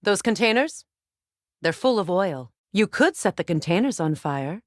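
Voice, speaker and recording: Stern warrior goddess, middle-aged, mid-pitched, measured formal diction, dramatic game acting, dry close sound. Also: female voice, sing-song, dry recording. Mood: composed, observant.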